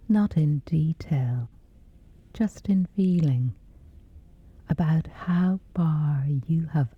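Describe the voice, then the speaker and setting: Whispery breathy lady doing sleep meditation, super slow and gentle, low voice, kinda muffled audio. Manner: gentle, soothing, hushed with long pauses. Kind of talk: guided sleep meditation, scripted.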